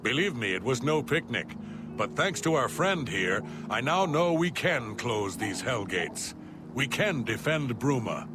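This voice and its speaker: An actor playing a gruff, resolute captain of a fantasy city guard in a video game, blunt and commanding. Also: male voice, mid-range voice, big pitch swings, a little slow, noisy background. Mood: relieved, determined.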